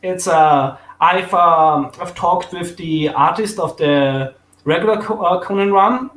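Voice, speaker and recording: A man's voice: sits high, slow, fairly bright tone. Austrian man in an echoey room. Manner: enthusiastic, fillers and restarts, laughs easily. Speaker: guest. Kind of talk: casual chat about comics.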